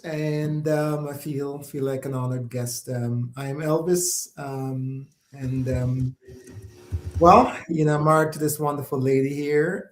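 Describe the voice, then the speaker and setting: Middle-aged Jamaican man, echoey room. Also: mid-range voice. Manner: friendly and measured, with frequent fillers. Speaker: podcast guest. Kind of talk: casual interview answers.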